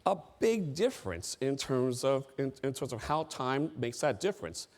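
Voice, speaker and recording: A man's voice: medium pitch, sing-song, moderate pace, darkish tone. A middle-aged man from the United States giving an emphatic seminar talk on board service, repeating his key phrases.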